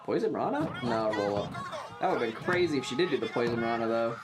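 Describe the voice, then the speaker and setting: A man's voice: medium pitch, animated, fairly quick, crowd noise. A man chatting casually on a livestream, rambling and swearing with enthusiasm as he reacts and gives his takes.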